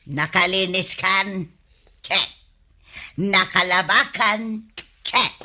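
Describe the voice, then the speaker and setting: An actress playing a bossy, nagging wife in an Ilocano radio comedy, with a demanding, scolding manner. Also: female voice, mid-range voice, extremely slow, sharp tone, full character voice. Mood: checking, demanding. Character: bossy, nagging wife piling chores on her husband.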